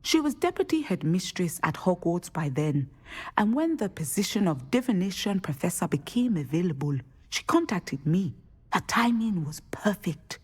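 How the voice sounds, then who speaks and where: Low, husky, dignified seer. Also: female voice, sing-song, moderate pace, darkish tone. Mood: dignified, gently amused. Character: wise, dignified Ugandan seer, mother and divination professor. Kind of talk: scripted game dialogue.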